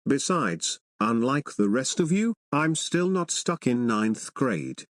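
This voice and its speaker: A man's voice: mid-range voice, very animated, slow, no room sound, clean studio sound. A man voicing a mature twenty-year-old back from military school, speaking formally and articulately in an adult cartoon. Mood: matter-of-fact.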